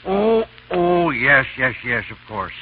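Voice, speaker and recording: An actor playing a befuddled, absent-minded older professor, dithering hesitantly in 1930s radio comedy. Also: male voice, medium pitch, very animated, slow. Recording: muffled, hissy 1930s radio recording.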